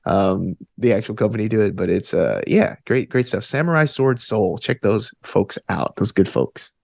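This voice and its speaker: A man's voice: on the low side, animated, normal speed, gravelly, a little mellow, dry recording, clean studio sound. A man in his twenties with an Alabama Southern accent, chatting casually and enthusiastically in informal, slangy language as an interview guest. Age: 20s. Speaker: guest, actor and stage combat student.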